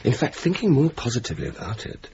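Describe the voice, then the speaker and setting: An actor playing a witty young RAF officer in a radio drama, speaking with mock-pompous turns of phrase and service slang. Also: male voice, mid-range voice, wildly swooping pitch, fast talker, darkish tone. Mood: dry banter. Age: young adult. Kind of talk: 1980s radio drama, narration and banter. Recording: dull, hissy old radio recording.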